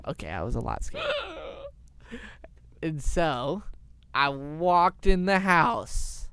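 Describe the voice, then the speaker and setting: A young man telling an improvised story in a halting, informal way. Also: fairly high pitch, very animated, bright, forceful tone, clear, resonant tone, no room sound. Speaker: storyteller, show host.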